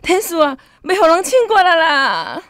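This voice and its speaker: A woman playing an excitable woman of a devout family in a radio drama, with a Taiwanese accent. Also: very high-pitched, normal speed, no room sound, studio quality, exaggerated voice. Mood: excited, relieved.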